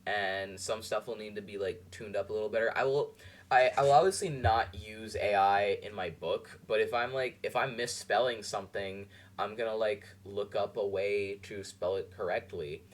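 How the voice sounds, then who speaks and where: A man hosting a podcast, reacting to a clip in a rambling, halting way with many fillers. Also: fairly low pitch, steady tone. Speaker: podcast host. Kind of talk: casual commentary over a clip.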